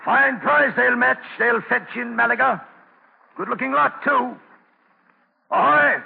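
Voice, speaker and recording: A greedy, scheming sea captain and slaver in an old radio drama. The voice is very gravelly and pitched high for a man. It comes through a thin, tinny 1940s broadcast recording. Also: takes it slowly, a little hiss or hum, full character voice. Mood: brisk scheming.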